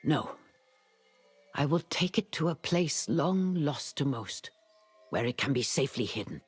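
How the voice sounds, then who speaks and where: An actor playing a grave, secretive guardian of ancient magic in a fantasy game, with a husky, solemn and measured delivery. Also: male voice, medium pitch, lively intonation, faint music behind. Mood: grave warning. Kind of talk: dramatic fantasy game acting.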